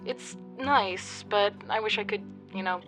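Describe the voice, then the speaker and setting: Affectionate, wry young intern voiced by a woman, medium pitch, naturalistic radio drama with music clearly underneath. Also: unhurried, lightly acted. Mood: mildly resigned. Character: affectionate, wryly joking young intern on the phone to a partner.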